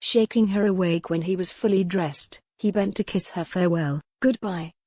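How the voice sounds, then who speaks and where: A woman's voice: fairly low pitch, moderate pace, a little mellow, no room sound. A woman reading scripted historical romance narration as an audiobook narrator, recorded close in a dry space.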